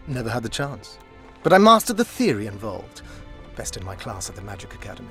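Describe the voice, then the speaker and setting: An actor playing a condescending, scholarly court sorcerer in a video game, pompous, silky and mock-courteous. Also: male voice, lowish voice, very animated, brisk, music in the background. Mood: lecturing. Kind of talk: video game dialogue acting.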